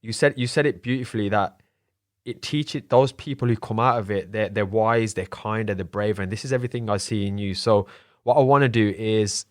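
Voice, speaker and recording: A man's voice: lowish voice, steady tone, fairly quick, no echo, studio quality. A man hosting an interview podcast, speaking earnestly and encouragingly to his guest. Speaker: podcast host. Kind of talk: interview host.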